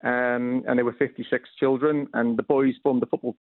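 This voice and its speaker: A man's voice: medium pitch, level tone, normal speed, dry recording. A Welsh man, an author guest on a radio phone-in, talking enthusiastically with many hesitations and repeated words. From Wales. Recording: tinny phone line.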